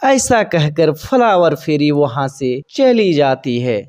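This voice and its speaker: A woman reading scripted narration for a children's animated bird story. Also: sits low, slow pace.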